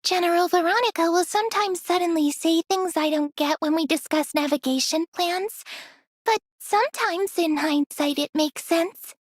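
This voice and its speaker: A woman's voice: very high voice, normal speed, no echo, studio quality. A woman voicing an earnest, precocious little girl in an anime game.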